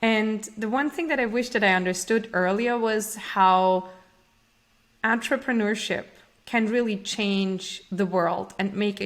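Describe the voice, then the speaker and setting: Austrian-born woman, earnest startup founder. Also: medium pitch. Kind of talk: interview answers.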